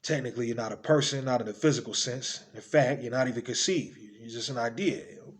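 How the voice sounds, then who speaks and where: A man performing a theatrical monologue as a tender, playful, earnest father-to-be speaking intimately and conversationally to his unborn child, moving between hushed and exuberant delivery. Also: mid-range voice, fast talker, natural voice.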